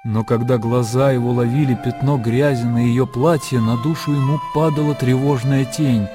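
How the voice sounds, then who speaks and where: An actor as the narrator of a classic literary radio drama. He reads in a mid-pitched voice with a measured, lyrical delivery. Music plays clearly beneath him. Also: steady tone, lightly acted. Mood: tender, reflective. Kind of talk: scripted narration in a radio play.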